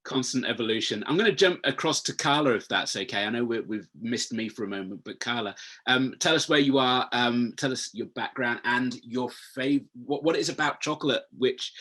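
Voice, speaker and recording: A man hosts a webinar panel over a video call. His voice is husky and sits at an ordinary pitch. He talks in a friendly, rambling way and keeps restarting his sentences. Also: brisk, fairly bright tone. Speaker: webinar moderator. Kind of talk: casual panel chat.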